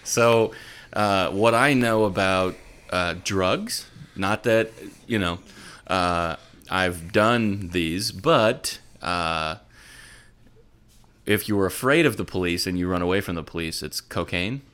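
A man's voice: sits low, slow, fairly bright tone, smooth. A man hosting a podcast in casual chat, blunt and joking in the way he talks. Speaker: podcast host.

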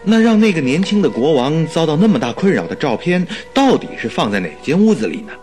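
A detective's attentive sidekick in a radio drama. He sounds wondering, in a fairly high male voice with animated intonation, over faint music. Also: fast talker. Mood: puzzled, attentive. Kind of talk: Chinese radio drama acting.

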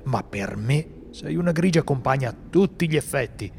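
A man playing a seasoned, solitary monster hunter in an amateur radio drama, speaking gravely and steadily. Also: medium pitch, expressive, moderate pace, darkish tone, a little hiss or hum. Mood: warm. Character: seasoned male monster hunter, brooding loner.

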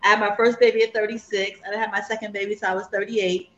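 A woman's voice: mid-range voice, level tone, quick pace, fairly bright tone, highs cut off. Chatty woman in her fifties, roomy sound. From Savannah, Georgia, US. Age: 50s. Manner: chatty, rambling, laughs often. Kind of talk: casual group chat.

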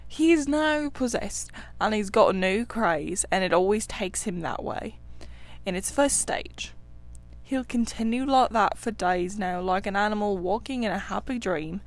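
Woman doing a sharp, no-nonsense water rat. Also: mid-range voice, animated, a little slow. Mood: firm. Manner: firm and sharp. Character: firm, practical male water rat, quick-tempered.

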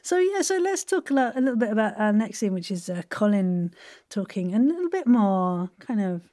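A woman hosts a casual interview podcast. Her voice is mellow and medium in pitch, and she talks in a chatty, enthusiastic, giggly way. The recording is dry and free of echo. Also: very animated, a little fast.

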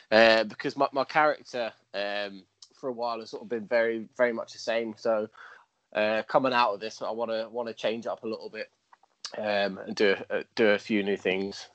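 A man's voice: mid-range voice, no echo, dull audio. A man and professional wrestler in a casual interview, with frequent stammered restarts. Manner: stammering restarts, quick laughs.

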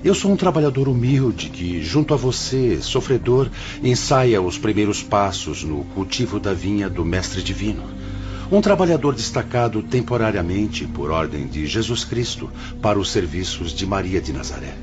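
A wise, humble older male spirit with a very deep voice and a Brazilian accent. His solemn, formal counsel rises and falls expressively and patiently, as in a religious radio drama. Music plays clearly behind him. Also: very animated, moderate pace, muffled recording. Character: serene, humble older male spirit, director of afterlife hospital.

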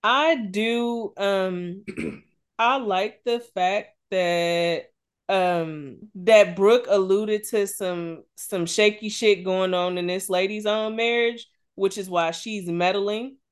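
A woman's voice: mid-range voice, takes it slowly, clean tone. A woman co-hosting a casual gossip podcast, blunt and emphatic, with plenty of swearing. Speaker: podcast co-host.

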